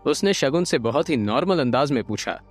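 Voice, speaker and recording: A man narrating an audio drama from a script, expressive and building suspense. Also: mid-range voice, fairly quick. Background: light background music.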